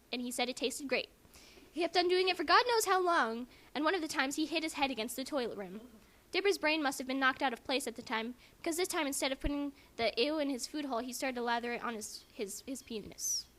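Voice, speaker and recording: A woman's voice: high voice, a bit bright. A squeamish woman reading aloud casually for a comic dramatic reading, breaking off to comment and sounding grossed out. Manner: squeamish, self-interrupting, grossed out. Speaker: amateur reader in a comedic dramatic reading.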